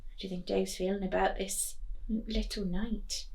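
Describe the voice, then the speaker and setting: A woman reading a picture book to children as a teacher, deliberate and expressive, putting questions to her young listeners. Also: mid-range voice, vocal fry.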